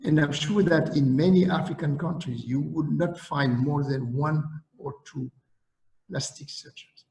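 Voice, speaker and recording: Senegalese-accented male surgeon-lecturer, slightly higher voice, halting delivery, echoey call audio. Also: a little mellow. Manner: slow, deliberate, halting with fillers. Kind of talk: online lecture and Q&A.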